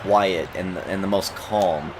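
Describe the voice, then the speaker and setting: Guy with a deep plain voice chatting loosely over a game, loud game crowd behind. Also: takes it slowly. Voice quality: plain, unremarkable voice. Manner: rambling, relaxed, many fillers and restarts. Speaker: gameplay commentator. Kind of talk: casual commentary over gameplay. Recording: game crowd noise underneath. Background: video game crowd and court sounds.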